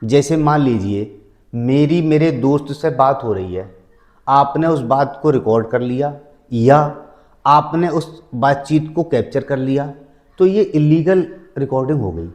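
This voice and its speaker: A man's voice: mid-range voice, expressive, measured pace, a little mellow. A man presenting legal advice to viewers in a didactic way, repeating key phrases and numbering his points. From India. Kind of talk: explanatory legal talk to viewers.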